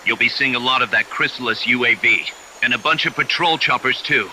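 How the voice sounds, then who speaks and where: An actor playing a brisk, serious male military ally in a video game, giving clipped briefings. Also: mid-range voice, pushed and bright, a little hiss or hum, low-quality recording. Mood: urgent warning. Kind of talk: dramatic video game acting.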